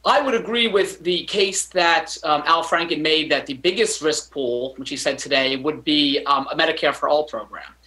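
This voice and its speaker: A man's voice: on the high side, moderate pace, hardly any pauses, pushed and bright, low-quality recording. A man in his thirties, a physician, answering news interview questions over a video call from a home study, hedging with many ums and restarts. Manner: hesitant, many fillers and restarts. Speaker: physician guest commentator.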